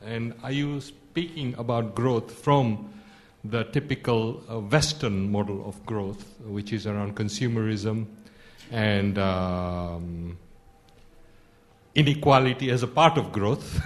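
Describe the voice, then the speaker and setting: A man's voice: on the low side, slow pace. Man asking a pointed question. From Kenya. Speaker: audience questioner. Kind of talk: audience question.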